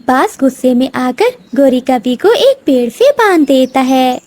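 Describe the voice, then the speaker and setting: A woman's voice: high voice, sing-song, a little slow, darkish tone. A woman narrating a scripted children's cartoon story.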